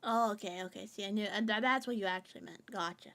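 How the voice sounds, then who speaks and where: A woman's voice: mid-range voice, quick pace, gravelly, a bit bright. A woman streamer chatting casually with her viewers, rambling and joking in a playful, sing-song way. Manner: rambling and jokey, reading chat aloud.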